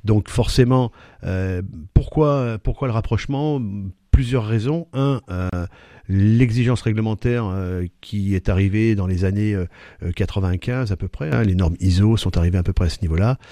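Low, dark-voiced man, halting. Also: no echo. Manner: hesitant, many fillers and restarts. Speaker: company executive, guest. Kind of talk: interview answers.

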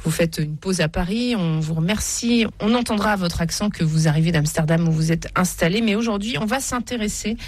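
A woman's voice: on the low side, fairly quick, few pauses, dry recording. A woman hosting a religious radio programme, presenting and putting questions to a guest. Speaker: radio host, interviewer.

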